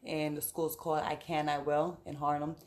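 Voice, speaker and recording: Low flat-voiced woman from the Bronx. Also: moderate pace. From the Bronx, New York. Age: late 20s. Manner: relaxed, laughs often, lists with fillers. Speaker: boxer and guest. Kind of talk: casual podcast interview.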